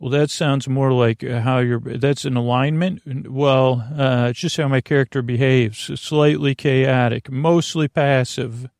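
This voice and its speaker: A gravelly, fry-heavy male voice in a very dry recording. He hosts a sleep podcast as a soothing, rambling monologue. He stumbles over word starts, circles back and questions himself as he drifts between tangents. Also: medium pitch, fairly even intonation, a little slow, hardly any pauses, a little mellow, dry recording. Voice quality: creaky. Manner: meandering, stammering, tangent-prone, self-questioning; soothing, lulling, drowsy delivery. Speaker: podcast host.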